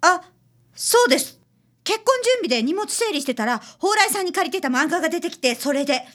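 A woman's voice: high voice, lively intonation, brisk, fairly bright tone. A woman playing a hesitant, very polite, apologetic young woman client in a radio drama. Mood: polite, guarded.

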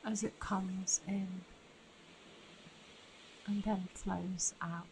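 A woman guiding a meditation in a soothing, unhurried manner with long pauses between phrases. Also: medium pitch, fairly even intonation, darkish tone, slight background noise, low-quality recording. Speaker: meditation guide. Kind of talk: guided meditation narration.

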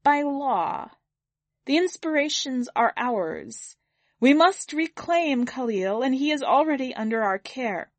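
A woman voicing a towering, owl-like alien envoy who speaks in a calm, formal, measured way. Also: high-pitched, no room sound, voice pushed up. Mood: firm.

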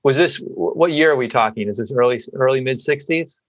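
A man's voice: mid-range voice, a little fast, highs cut off. A man hosting a podcast interview, asking long questions full of restarts and fillers. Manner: rambling, many restarts and fillers. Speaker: podcast host. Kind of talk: conversational interview.

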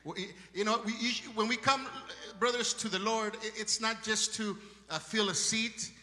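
A man with a strong Spanish accent preaches to his congregation with fervent, ringing calls of praise. His voice sits high and sounds strikingly bright. The recording carries the long echo of a church hall, heard from a distance. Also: steady tone, normal speed. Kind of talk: sermon.

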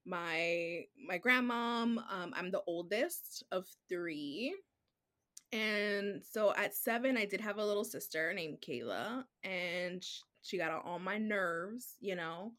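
A woman guest whose first language is Spanish, telling her story in a relaxed, chatty way. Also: on the low side, slow pace. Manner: relaxed, chatty, heavy use of "like" and "um". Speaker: children's book author, guest. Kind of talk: casual podcast conversation.

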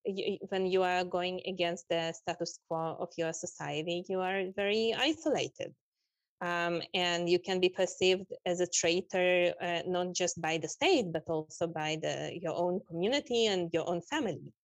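A woman from Israel, born in Russia, speaking reflectively as an interview guest and pausing to search for words. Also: lowish voice, no room sound. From grew up in Israel, born in Russia. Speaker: interview guest, human rights activist.

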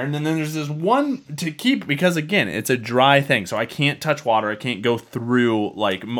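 A man's voice: fairly low pitch, a bit bright. Rambling man telling a casual story. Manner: rambling, frequent swearing. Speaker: podcast co-host.

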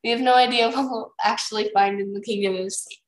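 A woman's voice: mid-range voice, steady tone, quick pace, natural voice. Woman reading as an anxious young male dragon, echoey. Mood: gloomy. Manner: worried, insistent.

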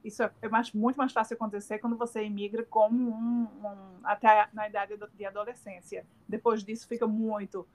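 A woman's voice: mid-range voice, level tone, brisk, few pauses, darkish tone. A middle-aged woman with a Northeastern Brazilian accent, answering interview questions in a hesitant, filler-filled way with many restarts. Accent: Northeastern Brazilian Portuguese. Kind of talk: casual remote interview.

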